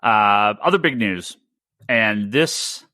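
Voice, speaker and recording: Male podcast host, mid-pitched and very animated, emphatic and opinionated in casual chat, dry close-mic sound. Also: slow pace, dry recording. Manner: emphatic, opinionated, repeats and restarts phrases. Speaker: podcast host, comic store owner.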